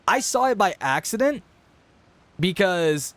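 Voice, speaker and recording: A man's voice: high voice, sing-song, unhurried, fairly bright tone. A man doing casual, rambling, self-deprecating reaction commentary as a game streamer. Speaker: game streamer, let's-play host. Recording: game music playing behind.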